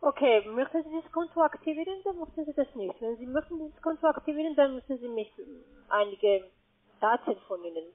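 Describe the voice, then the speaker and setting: A woman's voice: high-pitched, expressive, a little fast. A woman with a foreign accent, a non-native speaker, making a pushy, insistent sales call as an account agent. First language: not German. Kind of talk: scripted phone sales call. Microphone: phone. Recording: tinny narrow phone line.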